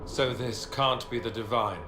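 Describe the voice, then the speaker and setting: A man playing a hero's wry, argumentative companion in a fantasy game, sardonic and animated. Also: medium pitch, a little slow, background noise, natural voice. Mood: defensive. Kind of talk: fantasy video game voice acting. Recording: echoey, music behind.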